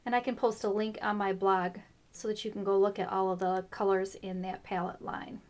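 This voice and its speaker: A woman hosting a casual craft tutorial, explaining her steps in a chatty way with fillers, her voice a little rough this morning. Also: mid-range voice, flat delivery, moderate pace. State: slightly rough voice. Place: craft table indoors.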